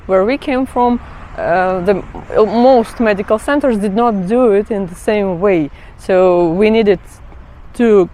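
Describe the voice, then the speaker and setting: A woman's voice: medium pitch, darkish tone. Woman w/ just chatting, laughs a lot, fixes her words as she goes, bit of faint noise behind. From Kazakhstan. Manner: chatty, laughs often, stumbles and corrects herself. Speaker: vlogger. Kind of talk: casual explainer chat.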